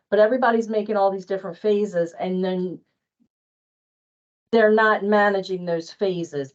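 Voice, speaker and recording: A woman with a mid-pitched voice talks informally in an online work meeting. She thinks out loud, doubling back on her words and hesitating. The call audio is dull and lo-fi. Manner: hesitant, repetitive, thinking aloud. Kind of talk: casual work meeting discussion.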